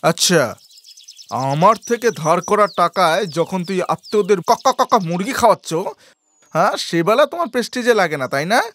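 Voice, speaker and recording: A man's voice: sits high, lively intonation, moderate pace, a bit bright, voice pushed up. A man voicing a bullying village landlord in a cartoon story, taunting with sarcastic, jeering questions. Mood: mocking anger.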